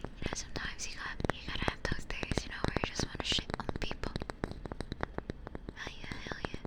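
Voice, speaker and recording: A woman's voice: fairly quick, low-quality recording. A woman whispering breathily in a playful, rambling way, chatting casually as an ASMR streamer close to a binaural microphone. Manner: playful, rambling, repeats phrases. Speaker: ASMR livestreamer chatting with viewers.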